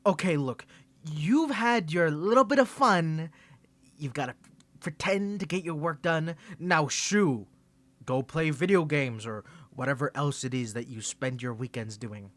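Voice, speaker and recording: A man's voice: on the high side, measured pace, a bit bright, no echo. A young man voicing a smug, sarcastic tsundere college rival in a scripted ASMR roleplay, teasing and condescending.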